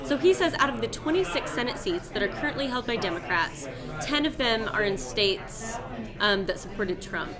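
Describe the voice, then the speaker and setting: Young woman co-hosting election night live, chatty and relaxed, crowd murmuring behind her. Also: on the high side, moderate pace. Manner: explains politics in a relaxed, chatty way. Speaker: co-host. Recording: noisy room with crowd chatter.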